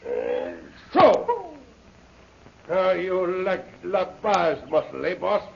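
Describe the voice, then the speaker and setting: A man's voice: high voice, wildly swooping pitch, drawn-out words, gravelly, heavily acted. An actor playing a boastful, brutish henchman with a French accent and swaggering broken English in an old radio serial. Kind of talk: 1930s radio serial acting. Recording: noisy, muffled old radio recording.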